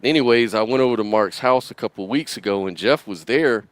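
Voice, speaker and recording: A man telling a rambling story in a relaxed, casual way on a podcast. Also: on the low side, fairly quick, no echo.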